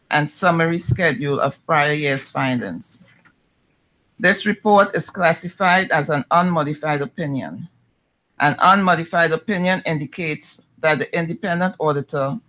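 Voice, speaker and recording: A man's voice: a little high, sharp tone, rough recording. Man reading a formal audit resolution. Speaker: internal audit presenter.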